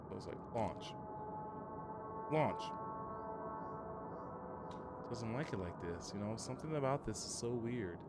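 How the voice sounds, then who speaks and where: A man livestreaming a game in a casual, halting way, thinking aloud and giving short voice commands. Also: lowish voice, animated, heavy background noise.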